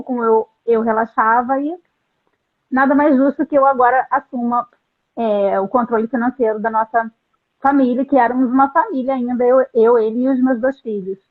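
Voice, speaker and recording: Woman on a tinny, phone-like call line. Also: on the high side. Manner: earnest, halting, with frequent restarts. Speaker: translator, interview guest. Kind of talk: reflective interview storytelling.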